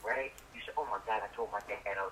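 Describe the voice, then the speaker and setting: A man's voice: on the low side, fairly even intonation, fast talker, a crowd in the background. An older man chatting casually over the phone, folksy and insistent, leaning on repeated catchphrases. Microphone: phone call. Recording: noisy telephone call played over speaker.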